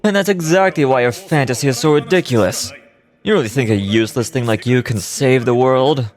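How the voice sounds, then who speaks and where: An actor voicing a scornful, menacing warrior spirit who belittles a weaker host in a scripted video game. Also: male voice, medium pitch, brisk, soft background music, close to an everyday voice. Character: cold, scornful warrior spirit possessing a frail body.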